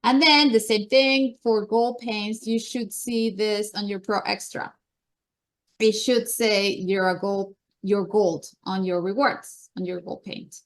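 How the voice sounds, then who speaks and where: A woman with a Colombian accent runs through meeting announcements in an upbeat, chatty way. Her voice sits at a middle pitch. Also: fairly even intonation, slow. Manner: enthusiastic, chatty presenter. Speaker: meeting host.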